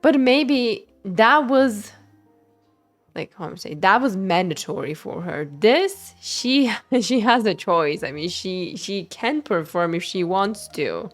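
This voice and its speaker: A young woman streams a game with casual live commentary. She has a mid-pitched voice and chats away, thinking out loud and answering the characters back. Faint game music plays behind her. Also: expressive, takes it slowly. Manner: chatty, thinking aloud, talking back to characters.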